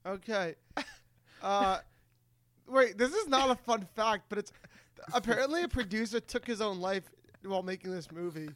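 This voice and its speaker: High-voiced man bantering casually. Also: moderate pace, long pauses, fairly bright tone, no room sound. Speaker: podcast co-host.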